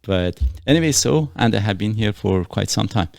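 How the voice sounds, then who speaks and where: A man's voice: fairly low pitch, animated, moderate pace, gravelly, darkish tone, dry recording, studio quality. A middle-aged man from Iran hosting a casual podcast, explaining things earnestly in a rambling way with many fillers. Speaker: podcast host.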